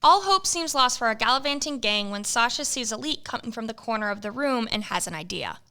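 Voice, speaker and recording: A woman narrates a comic adventure in a brisk storybook style. Her voice is bright, smooth and somewhat high. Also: expressive.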